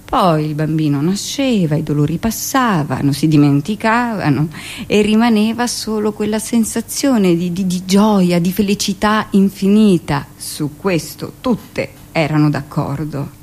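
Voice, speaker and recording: A woman's voice: on the low side, a little mellow. A woman playing a brusque, gossipy middle-aged hospital midwife who tells her memories in a theatrical, conversational radio monologue. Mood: confiding, reflective. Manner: conversational, dramatic pauses, shifts into mimicked speech. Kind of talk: theatrical first-person monologue, confiding storytelling.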